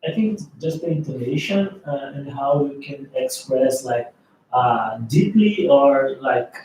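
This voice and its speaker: A man's voice: medium pitch, animated, a little slow, darkish tone. A man answering as a student, hesitant and searching for words. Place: classroom. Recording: echoey room from far off, faint hum.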